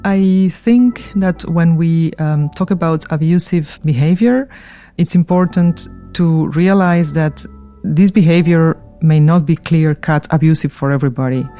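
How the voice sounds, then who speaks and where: A middle-aged woman from Argentina speaks in a mellow, warm voice. She talks thoughtfully, pausing and hesitating, with a soft laugh now and then, while faint music plays underneath. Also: low voice. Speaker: university research coordinator. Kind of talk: recorded personal testimony.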